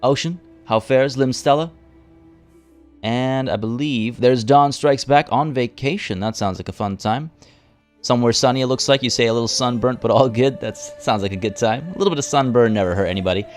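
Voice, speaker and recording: A man, a little hoarse, chatting casually as he streams a strategy game from home and greets viewers by name. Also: medium pitch, fairly quick, soft background music. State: slightly hoarse. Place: home room by a window.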